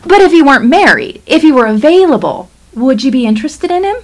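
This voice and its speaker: Middle-aged wife, radio play, exasperated and insistent, lively highish voice. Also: female voice, fast talker. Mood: probing. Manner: insistent, wry. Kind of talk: stage-style radio play acting.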